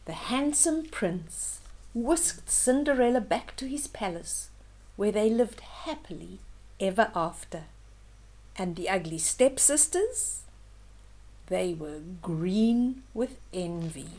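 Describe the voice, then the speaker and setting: An older woman reading a fairytale aloud to children in an expressive way, with simple explaining asides to young listeners. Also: mid-range voice, slow. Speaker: children's storyteller, host.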